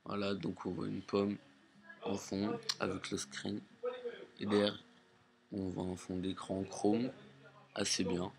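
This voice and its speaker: A man giving a casual, halting demonstration in plain words, as an amateur video presenter. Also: lowish voice, flat delivery, gravelly, a crowd in the background. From Portugal or Portuguese family. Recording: faint background noise, amateur recording.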